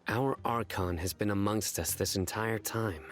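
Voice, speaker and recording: A man playing a stern, vigilant investigator in an anime game dub, speaking seriously, formally and deliberately. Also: fairly low pitch, soft background music, close to an everyday voice. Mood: quietly astonished. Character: stern, vigilant young male investigator, cool and measured.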